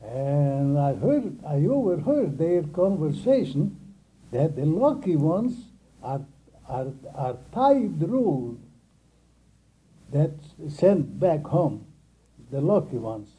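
Halting elderly man. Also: a little high, very animated, warm tone, slight background noise, low-quality recording. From Russian Empire, near Kiev. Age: older. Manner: halting, with many restarts and repeated words. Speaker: interviewee. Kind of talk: oral history recollection.

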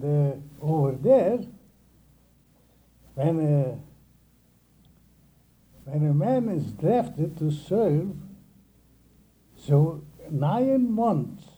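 An elderly man speaks very slowly and in a slightly raised register, his pitch swinging widely as he halts and restarts his phrases. His voice is dark and husky. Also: rough recording. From Russian Empire, near Kiev. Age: older. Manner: halting, with many restarts and repeated words. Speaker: interviewee. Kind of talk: oral history recollection.

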